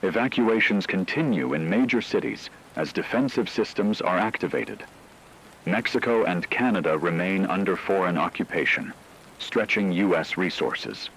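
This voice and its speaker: Deep-voiced actor as a grave male war newscaster. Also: moderate pace, fairly bright tone, slight background noise, low-quality recording. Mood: grave, urgent. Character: grave male radio news anchor reporting a world war. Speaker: radio news anchor. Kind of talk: scripted dramatic news broadcast.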